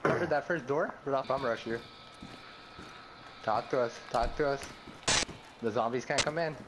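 Excitable man gaming over noisy background. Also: medium pitch, moderate pace. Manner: excitable, repetitive, foul-mouthed. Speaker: video game player. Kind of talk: casual gaming chat with friends.

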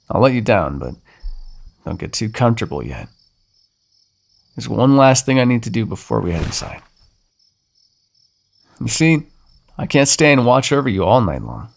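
A man voicing a centuries-old male vampire in an ASMR audio roleplay, taunting and mocking the listener he calls dearie. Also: full character voice. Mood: commanding. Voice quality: low gravelly voice, husky.

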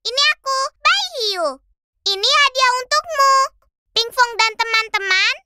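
Squeaky, bright little cartoon creature. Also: female voice, animated, unhurried, faint music behind, full character voice. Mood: sweet, cheerful. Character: cute sweet little creature, baby shark or talking fruit. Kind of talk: children's cartoon acting.

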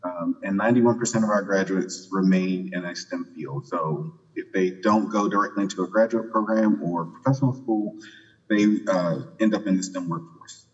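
Male presenter at a conference, lower voice, relaxed delivery with fillers, dull echoing hall audio. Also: moderate pace. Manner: relaxed, filler-heavy, skipping ahead for time. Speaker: program director presenting at a conference. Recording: muffled audio in a reverberant hall.

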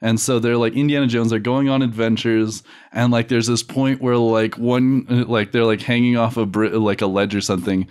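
Casual male comedy podcaster, mid-pitched, who stumbles and giggles through his story ideas among friends. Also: little pitch movement, moderate pace, few pauses, darkish tone. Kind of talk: casual group brainstorming.

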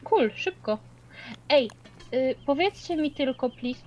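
A woman's voice: sits high, animated, slow pace, a little mellow, highs cut off, slight background noise. A woman chatting casually while gaming, slangy, dropping in English words and swearing. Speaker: co-player in a multiplayer game.

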